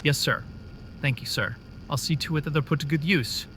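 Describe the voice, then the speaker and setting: Respectful captain, slightly higher voice, faint rumble behind, as a man acts him. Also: moderate pace, lightly acted. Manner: respectful, inquisitive. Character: dutiful male captain, questioning, respectful.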